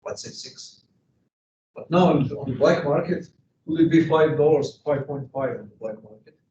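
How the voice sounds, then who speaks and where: A man's voice: on the high side, moderate pace, dark tone, slight background noise. A man from Serbia, giving an economics lecture in an emphatic way and posing rhetorical questions to the class. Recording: distant, echoey, dull classroom recording.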